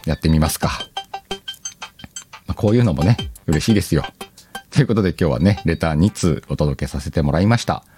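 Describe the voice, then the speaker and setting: A man's voice: a bass voice, fairly quick, long pauses, over music. A man hosting a casual solo audio show, reading out listener letters and chatting to himself with self-deprecating banter and frequent laughter.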